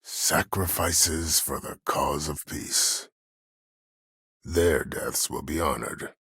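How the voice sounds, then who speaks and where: An older man acting a video game role: a cunning, proud old disgraced admiral who speaks in a measured, formal, husky voice with quiet menace. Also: fairly even intonation, no echo, clean studio sound, exaggerated voice. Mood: grave, proud. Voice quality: husky, very deep. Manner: measured, formal, self-assured. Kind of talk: video game character dialogue.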